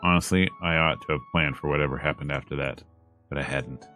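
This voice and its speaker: A man with an extremely deep voice reads a visual novel aloud. His narration is measured and dramatic, with emphasis on the emotional lines. Music plays softly behind him. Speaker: let's player reading a visual novel aloud. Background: game music.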